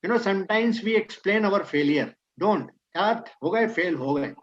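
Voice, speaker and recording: A man's voice: sits high, animated, fast talker, highs cut off. A man whose first language is Hindi, speaking Indian English and mixing in Hindi, giving a motivational talk on leadership that states maxims and then explains them. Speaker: guest speaker.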